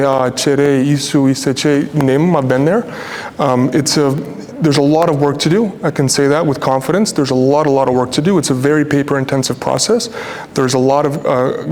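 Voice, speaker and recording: North American man in an echoey hall. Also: darkish tone. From Toronto, Canada. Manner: earnest, colloquial. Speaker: real estate developer on a panel. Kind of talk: informal panel discussion remarks. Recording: echoey hall, noisy with low rumble.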